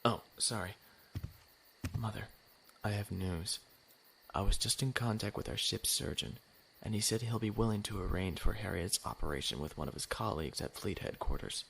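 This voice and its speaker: A man acting a composed young noble naval lieutenant in a science fiction audio drama, formal and measured, coolly defiant. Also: on the low side, vocal fry, a bit bright, slight background noise, poor audio. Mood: gentle, pleased.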